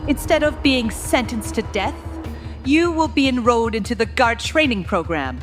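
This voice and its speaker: An imperious changeling queen played by a woman passes judgment in a stern, regal drawl. Her voice is a little high, with music under it, in the manner of a fantasy audio drama. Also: lively intonation, normal speed, natural voice. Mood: coldly commanding. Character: imperious cold changeling insect queen, a mother.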